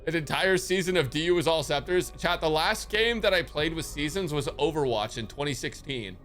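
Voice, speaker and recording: A man's voice: fairly high pitch, animated, brisk, fairly bright tone. A young man reacting to a game live, chatting casually with his audience in profane, bantering commentary. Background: game music.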